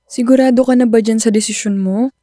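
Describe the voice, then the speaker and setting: Woman playing earnest young daughter, high mellow voice. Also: fairly even intonation, fairly quick, no echo, clean studio sound, close to an everyday voice. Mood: pleading, concerned. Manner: earnest, questioning. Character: concerned, principled young working daughter of abortionist. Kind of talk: radio drama acting.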